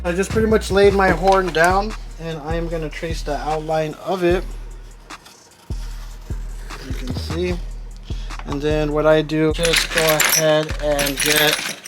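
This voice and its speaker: A man's voice: a little high, fairly even intonation, slow, pauses often. A man hosting a DIY car audio build video, talking informally and explaining each step as he works. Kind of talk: casual hands-on workshop tutorial. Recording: music playing behind the voice. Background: music.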